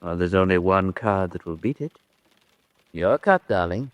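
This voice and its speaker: Brief: a middle-aged household patriarch, genial yet probing, formal delivery, low voice, radio drama. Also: male voice, expressive, clean tone, no room sound. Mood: hospitable. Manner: formal, hospitable, measured. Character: genial, formal family father and host, quietly probing. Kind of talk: old-time radio drama acting.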